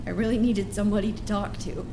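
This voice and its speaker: An actress playing an excitable, needy, sweet-natured young female staffer in an audio drama, emotional and unguarded. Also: mid-range voice, quick pace, background noise, close to an everyday voice. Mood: hurt, subdued.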